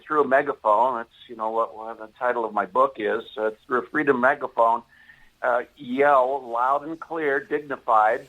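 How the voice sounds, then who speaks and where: Deliberate, oratorical American man in an interview. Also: medium pitch, pushed and bright, poor audio. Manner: formal, deliberate, oratorical phrasing. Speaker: author and interview guest promoting a monument project. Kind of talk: earnest live interview talk.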